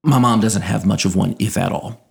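A man in his thirties speaks in a low-pitched, fry-edged American voice with just a trace of Southern twang. His diction is careful and shaped like a broadcaster's, even as he chats and tells stories as an interview guest. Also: normal speed. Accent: American, faint Southern twang. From Louisiana, United States. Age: 30s. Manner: precise, broadcaster-like enunciation. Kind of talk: conversational storytelling.

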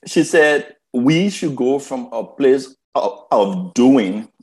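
A man talks at an unhurried, measured pace. He leans on key words and often restarts a phrase before landing it, in a reflective, storytelling way. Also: mid-range voice, expressive, rough recording. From Liberia. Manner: slow, emphatic, repeats words when starting a thought. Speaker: guest.

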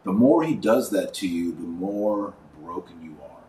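A man hosting a podcast, giving live commentary and reading aloud in an emphatic, colloquial manner with dramatic pauses. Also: sits low, animated, mellow. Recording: roomy, distant mic, faint background noise.

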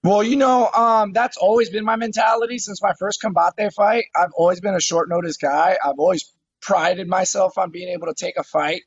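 Gravelly, high-voiced fighter in his thirties. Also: no room sound, highs cut off. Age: 30s. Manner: eager, repeats words when starting phrases. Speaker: MMA fighter guest. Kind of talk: casual interview talk.

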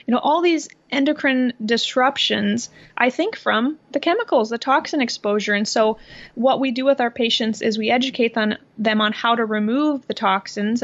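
A woman in her thirties from Iowa talks through how she treats patients, laughing lightly as she goes. Her voice sits a little high and has a gravelly fry. The remote podcast audio sounds dull and lo-fi. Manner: explanatory, laughs lightly between points. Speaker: health practitioner guest. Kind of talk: podcast interview. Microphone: remote computer recording.

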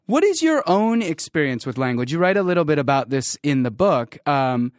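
A man hosting a podcast, reading a scripted introduction and then asking interview questions in a measured way. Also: on the high side, big pitch swings, no room sound, studio quality. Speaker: podcast host.

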